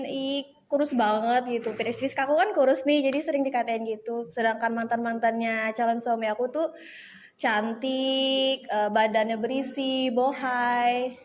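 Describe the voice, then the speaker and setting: A woman's voice: on the high side, fairly even intonation, moderate pace, a little hiss or hum. A young woman talking casually and candidly as an interviewee. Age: young adult.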